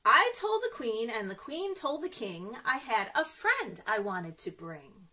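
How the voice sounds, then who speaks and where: A woman with a high, bright voice leads a story time for small children. Her delivery is very lively and sing-song, swinging widely in pitch. She talks directly to the kids and often turns to them with questions. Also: measured pace, a little hiss or hum. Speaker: children's librarian reading stories to kids. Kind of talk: read-aloud story time for young children.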